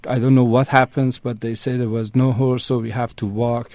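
A man from Iran, giving a halting, plain-spoken personal account as a radio guest. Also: mid-range voice, level tone, a little mellow, no room sound.

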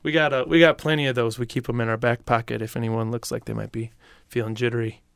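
A man who is a touring musician, talking in a friendly, relaxed way as a guest on a radio interview. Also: mid-range voice, fast, creaky, clean tone, no room sound, studio quality. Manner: friendly, relaxed, many fillers. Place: radio studio.

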